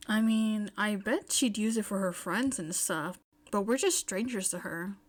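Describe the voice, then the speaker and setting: A woman's voice: mid-range voice, a little slow, natural voice. Nervous teenage boy, read by a woman, hesitant and casual, a minor part in an audio story. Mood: unsure. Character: nervous, casual, wide-shouldered teenage schoolboy.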